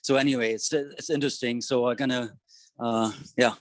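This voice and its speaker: A man's voice: medium pitch, steady tone, normal speed. A man speaking casually in a meeting, hesitant and often searching for the right word. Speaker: meeting participant. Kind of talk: casual self-introduction.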